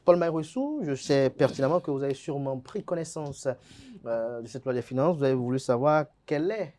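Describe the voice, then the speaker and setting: A man's voice: medium pitch, expressive, brisk, dark tone, slight background noise. A man, hosting a TV current-affairs debate in a formal manner, stumbling at times as he tries to cut in. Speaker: debate show host.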